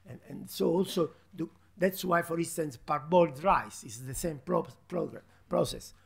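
Gravelly, husky man on a conference panel. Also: a little high. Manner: halting, many restarts and fillers. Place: large conference hall, seated at panel table. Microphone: table microphone.

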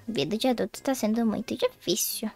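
A woman playing a fretful girl-turned-adult, high and dry. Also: fairly quick, creaky, a little mellow, dry recording. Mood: fretting. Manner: chatty, thinks aloud, whiny sighs. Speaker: roleplay player voicing Diana. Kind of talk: Roblox roleplay storytelling.

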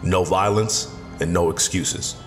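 An actor playing a wise, stern older garage owner and community leader in an audio drama, plain-spoken and authoritative. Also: male voice, deep voice, takes it slowly. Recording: long reverb, music underneath.

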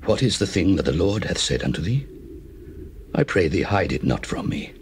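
Very deep, frail old priest. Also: male voice, big pitch swings. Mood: grave, pressing. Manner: slow, solemn. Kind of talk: dramatized Bible audio acting. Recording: noisy old cassette recording.

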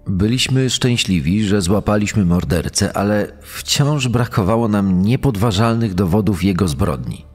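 Man acting a serious husky police investigator. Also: lowish voice, expressive, a little slow, a little mellow, in a large hall, soft background music. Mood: grave. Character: male police investigator recalling a murder case. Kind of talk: dramatized documentary testimony.

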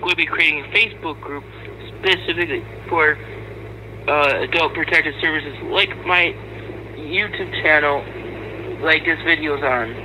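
A man with a high, very forceful voice rants in an aggrieved, sarcastic way. His language is foul and confrontational. There is faint noise on the recording. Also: moderate pace, rough recording. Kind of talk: angry, profane rant to camera and recorded audio.

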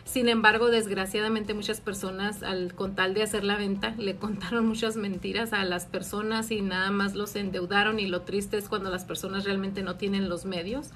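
A woman's voice: medium pitch, flat delivery, normal speed, few pauses, a bit bright. Woman explaining taxes live. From Mexico. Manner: friendly, explanatory, many "este" fillers. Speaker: tax advisor answering viewer questions. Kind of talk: live stream Q&A. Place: small office indoors. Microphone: phone camera at arm's length.

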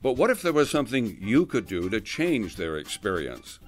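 A man delivers scripted voice-over narration in an upbeat, lightly joking way. His voice sits somewhat low. Soft music plays underneath. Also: sing-song, moderate pace.

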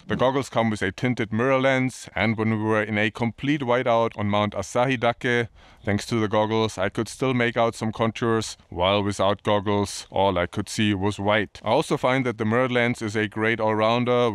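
A man's voice: lowish voice, fairly even intonation, normal speed, smooth, dry recording. A man in his thirties presenting casually to camera on snowy mountain slopes, upbeat and chatty. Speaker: ski vlogger.

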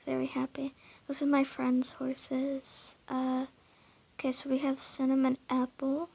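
A woman's voice: high voice, completely flat delivery, a little slow, creaky, darkish tone, breathy edge. A young woman casually talking through her horse barn in a game, hesitant, full of fillers and often dropping into a whisper.

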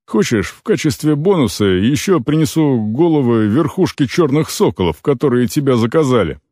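A man's voice: mid-range voice, big pitch swings, normal speed, dark tone, dry recording, clean studio sound. A man voicing a brash, eager orc killer-for-hire in a fantasy audiobook, blunt, chummy and bargaining. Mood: eager, impressed.

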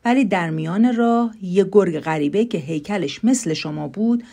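A woman's voice: fairly low pitch, expressive, dark tone. A woman voicing a clever male rabbit in a children's fairy tale, speaking earnestly and persuasively. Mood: pleading, meek.

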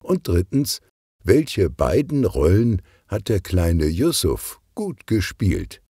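A man narrating a scripted children's audiobook in an expressive, deliberate storytelling manner. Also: sits low, slightly rough, dry recording, studio quality.

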